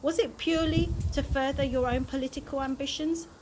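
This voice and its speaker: A woman's voice: high-pitched, steady tone, brisk, background noise, voice pushed up, natural voice. A woman reading a storybook and voicing a formal, probing male television host.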